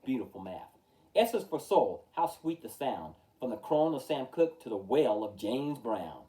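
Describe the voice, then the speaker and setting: Male storytime reader: mellow tone, lively swooping intonation, emphatic rhyming verse, recorded a little far from the mic. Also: mid-range voice, moderate pace. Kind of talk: expressive children's picture-book read-aloud. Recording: distant from the mic.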